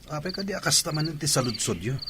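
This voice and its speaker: A man's voice: fairly high pitch, sing-song, quick pace, noisy background. A man playing an irritable, evasive husband in an Ilocano audio drama, with an Ilocano Filipino accent. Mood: defensive.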